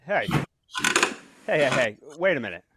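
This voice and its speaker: A man's voice: medium pitch, very animated, normal speed, heavy background noise, natural voice. A man acting a nervous, secretive husband with menace under his evasive stammer, in 1940s radio suspense style. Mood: evasive, uneasy. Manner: stammering, evasive, repeats words.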